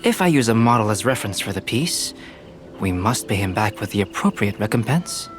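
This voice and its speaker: A man playing a composed, courteous young artist-scholar in a video game, speaking in measured, formal and precise phrases. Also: fairly low pitch, sing-song, over music. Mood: calm, assured. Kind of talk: video game character acting.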